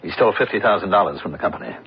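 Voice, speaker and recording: A man playing an impatient, cold-blooded, domineering middle-aged businessman husband in a radio drama, with a rough, husky voice. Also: low-pitched, expressive, rapid-fire, slight background noise. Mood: scornful, impatient. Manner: domineering, dismissive, forceful phrasing. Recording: dull lo-fi radio broadcast recording.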